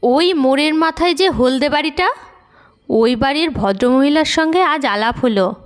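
Audio drama: actress as headstrong young wife a city household, high-pitched, emphatic, dry studio sound. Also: female voice, expressive, normal speed, dry recording. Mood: eager, excited. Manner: eager, emphatic, chiding. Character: excited, stubborn young Bengali wife and mother. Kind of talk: audio story acting.

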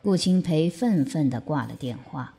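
A woman's voice: low-pitched, expressive, normal speed, gravelly, mellow, slightly rough. A woman reading scripted narration for an audio drama.

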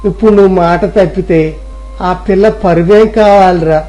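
A man's voice: high-pitched, steady tone, a little fast, mellow, natural voice, low-quality recording. Man voicing a stern old village father. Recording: music playing behind.

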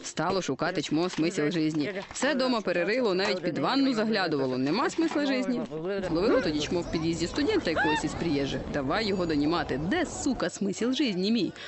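A man with a high voice narrates a satirical cartoon story. His delivery is quick and colloquial, mocking the fairy-tale form with irony, and music plays behind him. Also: big pitch swings.

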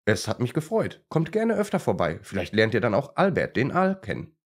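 Man as a lively, eager storybook newt. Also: mid-range voice, brisk, voice pushed up. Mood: proud, friendly. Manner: chatty, eager, explanatory. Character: proud, enthusiastic male crested newt.

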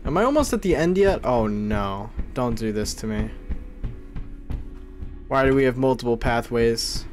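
Male game streamer, twenties: lively swings in pitch, casual and excitable, music underneath. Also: unhurried. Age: 20s. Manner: excitable, jokey reactions. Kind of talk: casual live gameplay commentary. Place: small room indoors at a gaming setup.

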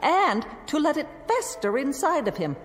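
A stern, scornful schoolteacher. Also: female voice, high voice, big pitch swings, normal speed, long reverb tail. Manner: crisp, cutting, lecturing. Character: severe strict former schoolteacher woman, stern and scornful. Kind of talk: radio drama acting.

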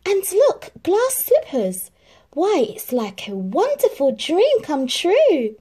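Woman doing a dreamy young princess type from a fairy tale, really high voice, breathless exclaiming. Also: huge pitch swings, takes it slowly, warm tone, exaggerated voice. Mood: delighted wonder.

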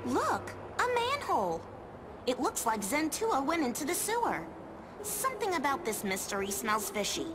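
A woman's voice: high voice, wildly swooping pitch, normal speed, noisy background. A woman voicing a brainy, matter-of-fact young detective in a cartoon game, precise and knowing. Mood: inquisitive. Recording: loud background music.

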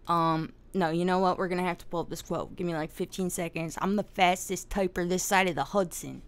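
Swearing, animated woman host. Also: lowish voice, brisk. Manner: animated, swears often, laughs while telling story. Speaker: podcast host. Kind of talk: casual live-stream discussion.

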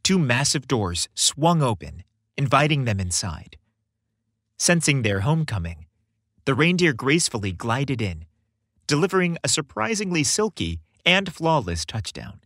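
A man's voice: medium pitch, big pitch swings, a little slow, a bit bright, dry recording, clean studio sound. A man reading a scripted story as a narrator, with dramatic storytelling delivery. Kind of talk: scripted audiobook-style narration.

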